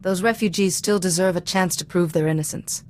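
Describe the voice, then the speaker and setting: A woman playing a calm, compassionate Jedi diplomat in a video game, with a measured, formal, reasoning manner. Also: on the low side, a little hiss or hum, lightly acted. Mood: earnest, firm. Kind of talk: video game dramatic voice acting.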